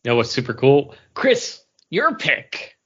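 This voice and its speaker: A man's voice: mid-range voice, huge pitch swings, unhurried, a bit bright, breathy edge. A man co-hosts a podcast, trading casual banter in an ordinary mid-range voice. The recording is slightly dull and lo-fi. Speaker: podcast co-host.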